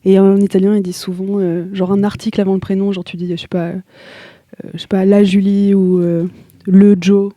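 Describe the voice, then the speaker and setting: A young woman from Italy, a singer being interviewed, talking in a relaxed, slangy way and often pausing to search for her words. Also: medium pitch, no echo. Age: young adult. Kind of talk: casual interview chat.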